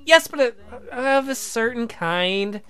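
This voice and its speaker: Guy putting on a sweet coy girlfriend voice for a game, high and bright, eager, bit hesitant. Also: lively intonation, takes it slowly, smooth, heavily acted. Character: sweet, eager, coy young woman on a date call. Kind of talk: visual novel character voice.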